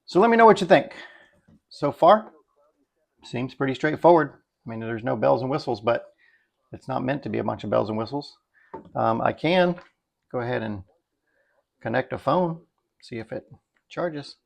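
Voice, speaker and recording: A man's voice: medium pitch, expressive, moderate pace, vocal fry, long pauses. A middle-aged man giving a casual, unscripted product review, thinking aloud in a folksy, easygoing way. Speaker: product reviewer, video host.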